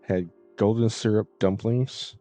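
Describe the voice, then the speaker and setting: Male streamer, deep voice, mellow tone, casual rambling talk, halting phrasing, faint music behind. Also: fairly even intonation, no echo. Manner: halting, trailing off and circling back. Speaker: live streamer.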